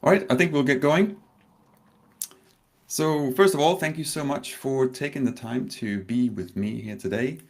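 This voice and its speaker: A man from Denmark, in his thirties, giving a live online conference talk, telling a story slowly with pauses and a touch of nerves. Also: medium pitch. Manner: warm storytelling with pauses, slightly nervous. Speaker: conference speaker.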